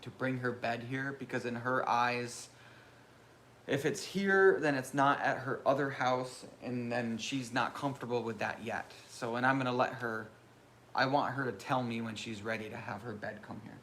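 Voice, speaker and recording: A man in his thirties with a loud voice, hosting a casual live question-and-answer chat and rambling with self-mocking humor. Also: mid-range voice, moderate pace, a little hiss or hum. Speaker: channel host.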